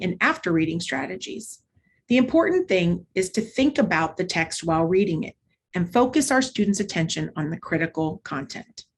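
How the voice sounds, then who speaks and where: A woman instructor giving an instructional presentation, explaining in a clear, structured way with short measured phrases. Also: medium pitch, slight background noise. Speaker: literacy instructor. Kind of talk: instructional presentation with modeled read-aloud.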